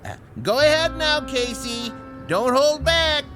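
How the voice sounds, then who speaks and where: An actor playing an elderly, earnest inventor professor with a mild, halting, pedantic way of explaining, in a radio drama. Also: male voice, very high-pitched. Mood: proud, insistent. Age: older. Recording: music behind the voice.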